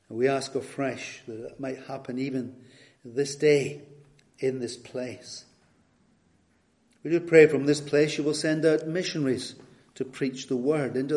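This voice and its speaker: A retired Scottish pastor in his later years, guest preaching, reading scripture and leading prayer in a measured, earnest way. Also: mid-range voice, a little mellow. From Scotland. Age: older.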